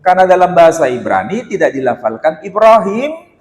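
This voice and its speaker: An Indonesian man giving a religious lecture in a mosque, animated and teasing, with rhetorical questions. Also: high voice. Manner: chants Quran verses in a slow melodic recitation. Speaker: Islamic lecturer. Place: mosque hall. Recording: echoey mosque hall.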